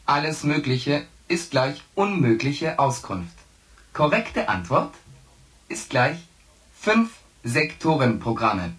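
Male ship's AI: polite, literal, formulaic delivery at a very measured pace, sci-fi radio play. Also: a little high, big pitch swings, fairly bright tone, exaggerated voice. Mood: formal, pedantic. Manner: formulaic, pedantic, equation-like phrasing. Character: polite, literal-minded shipboard AI computer, male. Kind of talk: science fiction radio drama acting.

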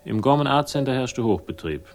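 An actor playing a wry, world-weary private investigator in a radio crime drama, speaking in clipped, ironic, teasing phrases. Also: male voice, medium pitch, expressive, brisk, music in the background, lightly acted. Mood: wry narration. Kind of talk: 1960s German radio crime drama, dialogue and narration.

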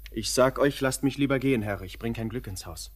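An actor playing a proud, withdrawn young drifter, curt and defensive in a radio drama. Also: male voice, mid-range voice, a little fast, close to an everyday voice. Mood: defiant, reluctant. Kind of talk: German radio play acting.

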